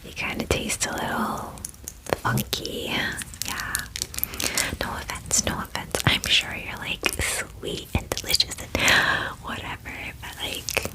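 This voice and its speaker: A woman voicing a friendly, chatty female dragon in a breathy ASMR whisper, gushing and reassuring like a best friend. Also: slow, natural voice, low-quality recording. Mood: amused, chatty. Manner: gushing bestie talk, casual fillers, reassuring. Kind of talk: whispered ASMR roleplay, personal attention.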